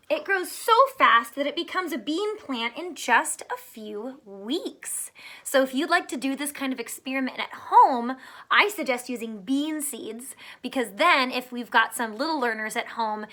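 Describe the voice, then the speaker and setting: A woman teacher reading a picture book aloud to young children, pausing to explain simply and ask questions, drawing out words for emphasis. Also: fairly high pitch, pushed and bright.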